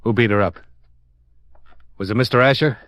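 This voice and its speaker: A man's voice: medium pitch, normal speed, fairly bright tone, no room sound, close to an everyday voice. An American man playing a tough, blunt insurance investigator in a Golden Age radio drama, with clipped, commanding, hard-boiled delivery. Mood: brisk, businesslike. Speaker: radio drama lead and narrator. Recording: old radio drama recording, dry studio.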